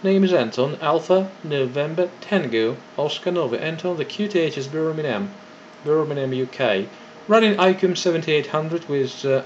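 Male ham radio operator, fairly high voice, procedural and a bit hesitant, faintly noisy recording. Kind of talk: ham radio contact exchange. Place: indoors beside a radio set. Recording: dull lo-fi audio with faint noise.

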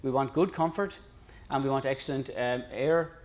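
A middle-aged Irish man presents an informal lecture. His voice sits at an average pitch, and he talks conversationally with light, dry humor, explaining ideas plainly to the room. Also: moderate pace. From Ireland. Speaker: presenter introducing a technical concept.

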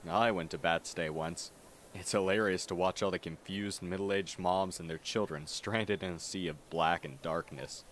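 A man's voice: low voice, steady tone, normal speed, a bit bright, a little hiss or hum. A man playing a theatrical, mischievous young goth student with a grandiose, teasing manner in an ASMR roleplay. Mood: haughty amusement.

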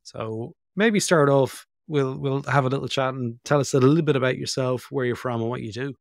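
A man's voice: medium pitch, brisk, gravelly, dry recording, clean studio sound. A man in his forties with an Irish accent, hosting a podcast, first reading a scripted intro and then chatting loosely with many fillers. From Ireland. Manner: rambling with fillers in conversation. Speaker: podcast host. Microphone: studio mic with headphones.

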